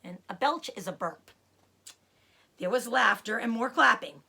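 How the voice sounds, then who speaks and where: Woman storyteller, children's read-aloud, animated intonation, creaky edge, dramatic emphasis. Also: on the high side, unhurried. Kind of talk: expressive children's story narration.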